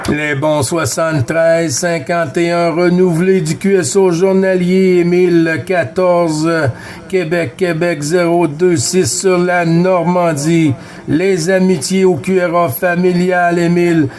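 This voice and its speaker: A man's voice: sits high, fairly even intonation, slow, a little mellow. A man with a Quebec French accent working a CB radio contact round, courteous, repeating words and callsigns. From Quebec, Canada. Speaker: amateur CB radio operator running a contact round.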